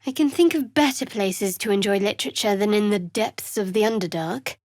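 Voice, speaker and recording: A woman playing a guarded, sardonic young cleric of a dark goddess in a fantasy video game, speaking in a dry, measured, wry way. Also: medium pitch, dry recording, lightly acted.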